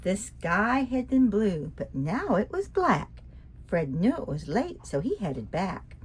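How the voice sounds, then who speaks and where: A woman reading a rhyming children's picture book aloud in an expressive, sing-song way, asking the listener questions. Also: mid-range voice, slow pace, husky.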